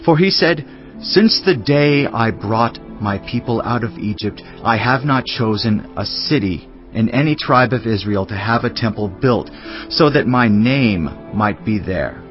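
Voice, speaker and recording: A man's voice: mid-range voice, animated, measured pace, natural voice. An actor playing a solemn biblical king who addresses his people in a reverent, declamatory way, in a dramatized audio Bible. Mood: solemn, proclaiming. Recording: slightly dull audio under music.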